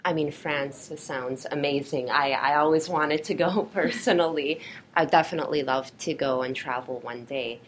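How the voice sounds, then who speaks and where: Very low-voiced woman interviewer. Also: moderate pace, slight background noise. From Canada. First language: Polish. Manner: formal, reads prepared questions. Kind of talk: scripted interview questions.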